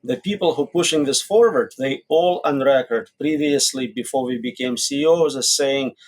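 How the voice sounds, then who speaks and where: A man's voice: mid-range voice, normal speed. A man answering as an expert guest in an interview, explaining in short, plain statements with examples. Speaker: interview guest, AI safety researcher.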